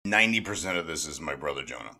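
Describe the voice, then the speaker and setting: A man co-hosting a podcast in casual banter, excitable and emphatic, often repeating himself. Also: very deep voice, fast. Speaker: podcast host.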